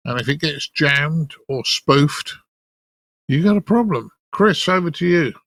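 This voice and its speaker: A man hosts in a conversational presenting style. His voice is sharp and bright with a noticeable gravelly fry, recorded dry. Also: a little high, lively intonation, a little slow, dry recording. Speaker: podcast host.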